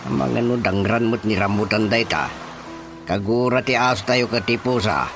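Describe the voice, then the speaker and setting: A man playing an easygoing rural father in a radio drama, talking in a homely, unhurried way. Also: lowish voice, over music, close to an everyday voice. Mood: matter-of-fact. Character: easygoing rural father advising his child.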